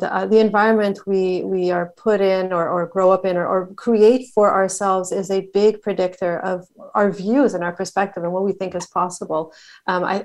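A woman from Montreal talks at length as a podcast guest. Her voice sits at a middle pitch, and she rattles off places and ideas with enthusiasm. She fills her sentences with "uh" and "you know". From Canada (Montreal). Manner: enthusiastic, talkative, quick listing with fillers. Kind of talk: casual interview conversation.

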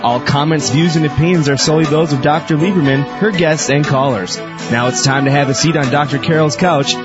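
A man announcing the show and its host in a scripted intro, with a smooth announcer read. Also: a little high, a little fast. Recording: lo-fi with music bed.

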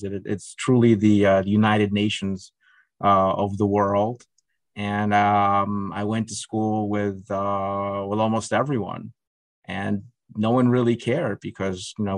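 A man's voice: on the low side, no room sound. A middle-aged man, a guest in a conversational interview, talking hesitantly with many fillers and restarts. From Russia. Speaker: podcast guest.

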